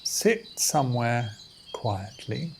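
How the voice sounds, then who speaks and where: A man leading a guided meditation as a teacher, in measured, deliberate phrases with pauses between them. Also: mid-range voice, sing-song, gravelly, slight background noise. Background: music and outdoor insect sounds.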